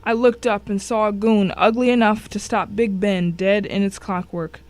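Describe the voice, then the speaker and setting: A woman's voice: medium pitch, steady tone, moderate pace, a little mellow, no echo. A woman reading a scripted first-person thriller as narrator, telling it with drama and suspense. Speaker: story narrator.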